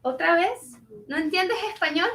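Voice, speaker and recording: High-voiced young restaurant worker, played by a woman, roomy sound. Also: lively intonation, moderate pace, fairly bright tone, exaggerated voice. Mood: exasperated, teasing. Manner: bilingual, switches between Spanish and English. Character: overworked, frank, warm-hearted young Colombian-American restaurant worker. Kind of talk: staged play reading. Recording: roomy, distant microphone.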